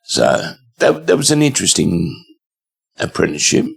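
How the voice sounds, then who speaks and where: A man in his seventies with an Australian accent, a guest telling stories in a relaxed, rambling way with many fillers. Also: low voice, normal speed, gravelly, husky, faint music behind. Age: 70s. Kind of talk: casual storytelling interview.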